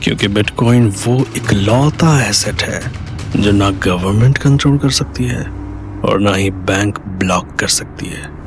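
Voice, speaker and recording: Confident young schemer, audio drama. Deliberate, assured delivery, lowish pitch, music clearly underneath. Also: male voice. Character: confident, calculating young male crypto trader with grand plans. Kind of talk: Hindi audio drama acting. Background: background music.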